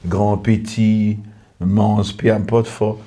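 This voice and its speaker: Earnest pastor. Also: male voice, on the low side, flat delivery, takes it slowly, mellow. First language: English. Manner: earnest, repetitive, lists in short clauses. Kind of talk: testimony in a podcast conversation.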